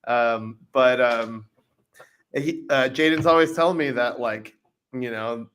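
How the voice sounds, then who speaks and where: A man's voice: medium pitch, takes it slowly, fairly bright tone, smooth. A man in his late twenties, a band member guesting on a podcast, chatting in a laid-back way and swearing casually. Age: 20s. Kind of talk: casual chat.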